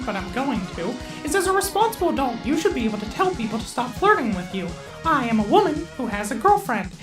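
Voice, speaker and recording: Outspoken ghost explainer acted by a woman, animated delivery, roomy sound, frank and profane. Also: high voice. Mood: firm, exasperated. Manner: blunt, profane. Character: cheeky outspoken ghost who edits videos. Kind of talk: casual scripted explainer. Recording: echoey, music behind.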